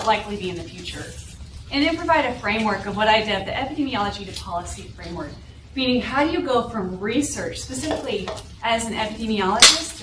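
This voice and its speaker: Young woman lecturing in an echoey hall. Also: medium pitch. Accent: Southwestern US with a North Carolina drawl. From New Mexico and Texas. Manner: explanatory, often adds "right?" to check in with the audience. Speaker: guest lecturer. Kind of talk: academic seminar talk. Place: lecture room, standing at a podium. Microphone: room recording from a far camera. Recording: echoey room audio from far off with background noise.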